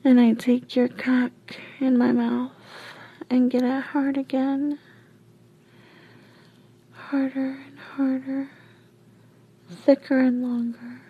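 A woman narrating intimate, sensual first-person erotic audio for adults in a hushed, breathy whisper. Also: high-pitched, little pitch movement, lo-fi sound. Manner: hushed, slow, sensual delivery.

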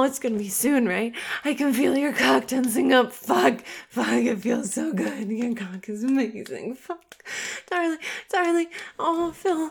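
A woman voices a possessive young lover who giggles and coaxes, sinking to hushed whispers. Her voice sits high and bright. She drags each word out slowly and sweetly. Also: creaky, breathy edge, exaggerated voice. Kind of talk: intimate audio roleplay.

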